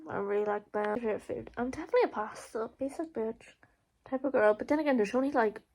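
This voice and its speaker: A woman's voice: fairly high pitch, fast, darkish tone. A young adult woman with an Irish accent, chatting casually through a get-to-know-me Q&A, full of "like" and "um" fillers and blunt swearing. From Ireland. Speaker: vlogger. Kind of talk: casual Q&A vlog.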